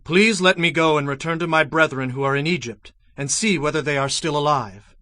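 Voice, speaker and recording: High-pitched, pleading Moses. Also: male voice, fairly quick, bright, forceful tone, dry recording. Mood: humble request. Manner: hesitant, pleading. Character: Moses, anxious humble male prophet, reluctant and pleading. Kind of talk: dramatized scripture acting.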